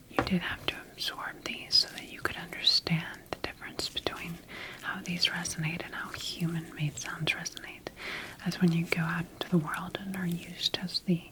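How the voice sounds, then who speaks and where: A woman voicing a methodical scientist in an ASMR sci-fi medical roleplay, speaking in a measured, formal and gently instructing way. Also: on the low side, lightly acted, poor audio. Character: clinical, methodical female scientist examining her bioengineered monster creation.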